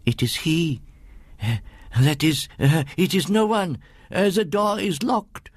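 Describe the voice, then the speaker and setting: A man's voice: on the high side, lively intonation, slow pace, slightly rough, no echo, studio quality, pitched above the performer's own voice, heavily acted. A man voicing a nervous, humble old French sacristan in a ghost story, hesitant and deferential. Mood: frightened, flustered. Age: older. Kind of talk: audiobook ghost story character voice.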